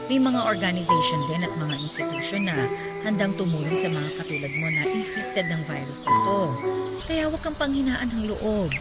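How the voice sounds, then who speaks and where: An actress playing a concerned, gently firm female doctor in a radio drama, measured and reassuring. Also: medium pitch, lightly acted. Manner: measured, reassuring, code-switches into English. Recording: loud music bed. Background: loud dramatic music.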